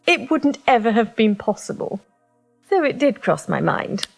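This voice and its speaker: High, lilting, flirtatious lover. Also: female voice, sing-song, normal speed, creaky, soft background music, heavily acted. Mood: tender. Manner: playful, mock-scolding, arch. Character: teasing, flirtatious woman lover on a romantic holiday. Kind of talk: naturalistic audio drama dialogue.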